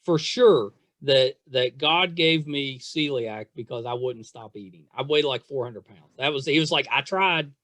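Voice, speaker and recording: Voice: medium pitch, a little slow, hardly any pauses, a bit bright, no echo. A middle-aged podcast interviewer talking casually, with a chatty, self-deprecating, nostalgic manner.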